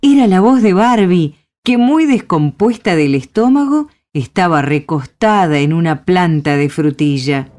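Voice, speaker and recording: A woman's voice: fairly low pitch, lively intonation, measured pace, few pauses, darkish tone. A woman with an Argentine accent narrating a story for a radio play.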